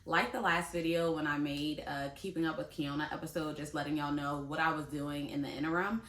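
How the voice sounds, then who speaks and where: Chatty young woman, bright and echoey. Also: low-pitched, fairly even intonation, brisk. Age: 20s. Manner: chatty, talks straight to viewers, laughs easily. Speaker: vlogger. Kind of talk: casual talk to camera. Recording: echoey room.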